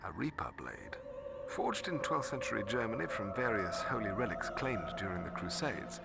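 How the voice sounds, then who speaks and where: An actor playing a scholarly, stern middle-aged mentor in a video game, speaking formally and precisely. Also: male voice, lowish voice, animated, normal speed, vocal fry. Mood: grave, explanatory. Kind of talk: video game cutscene exposition. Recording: music behind the voice.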